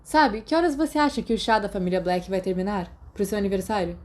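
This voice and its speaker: A woman's voice: medium pitch, fast talker, a little mellow, lightly acted. A woman as an eager, sociable teenage schoolboy. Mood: casual probing.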